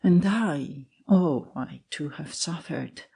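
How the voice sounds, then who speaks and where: A man playing an ardent, lovesick young man, earnest and melancholy, with a husky voice and a yearning, confessional delivery in a literary dramatic reading. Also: on the high side, slow, a little mellow, exaggerated voice. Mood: anguished.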